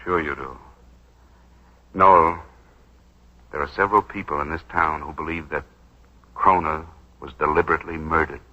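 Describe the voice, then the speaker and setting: An actor playing a brash, wry lawyer who schemes and smooth-talks, in 1940s radio drama style. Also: male voice, extremely low pitch, steady tone. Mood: sly, insistent. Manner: wry, fast-witted, smooth-talking. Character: brash, wisecracking young lawyer turned schemer, slick and probing. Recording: dull 1940s radio broadcast recording.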